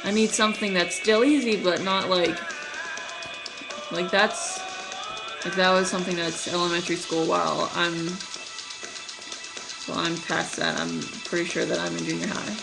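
A young woman in college who stutters, talking casually to her webcam in a small room, with halting speech, many fillers and restarts. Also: fairly low pitch, level tone, creaky, over music, rough recording. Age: young adult. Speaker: vlogger. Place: small room at night, indoors. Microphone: webcam at close range.

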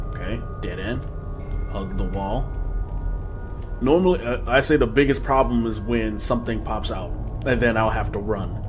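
A man in his twenties giving casual, slangy horror game commentary to his viewers in an animated, excitable way. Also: on the low side. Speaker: gaming video host. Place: small room at home, indoors.

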